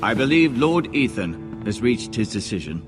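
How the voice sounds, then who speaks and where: A man's voice: medium pitch, big pitch swings, moderate pace, over music, close to an everyday voice. A man playing a deferential scholarly adviser in a video game drama, reasoning and conciliatory. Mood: placating.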